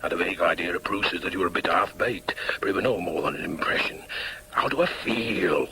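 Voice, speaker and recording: Low, hesitant Northern English father. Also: vocal fry, sharp tone, a little hiss or hum, exaggerated voice, low-quality recording. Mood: wondering, unsettled. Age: middle-aged. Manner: halting, awkward, hesitant. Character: hesitant, plain-spoken middle-aged father meeting his lost son. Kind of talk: radio drama acting.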